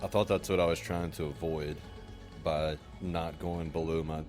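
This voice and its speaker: A man's voice: rumbling low, little pitch movement, moderate pace, music in the background. A man playing a tabletop role-playing game, chatting casually about rules and his next move.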